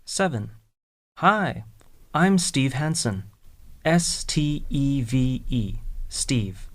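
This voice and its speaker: A speaker reading scripted English listening exercises in a slow, deliberate way, spelling out words letter by letter. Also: mid-range voice, big pitch swings. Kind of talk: scripted slow textbook dialogue.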